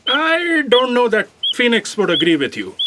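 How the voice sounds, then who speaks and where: A woman acts out a dutiful office aide in a comic audio drama. Her fairly high voice moves up and down a lot, with dry, snide asides. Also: huge pitch swings, moderate pace, heavy background noise. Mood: dry, teasing.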